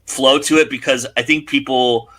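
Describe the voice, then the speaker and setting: A man's voice: medium pitch, fairly even intonation, normal speed, bright, forceful tone. A man hosting a casual interview show, speaking in an enthusiastic, conversational manner.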